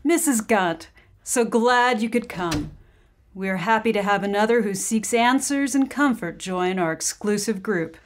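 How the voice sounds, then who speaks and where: An actress playing a gracious, solemn seance medium in an old-time radio drama, speaking formally and deliberately. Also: female voice, medium pitch, lightly acted. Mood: gracious, welcoming.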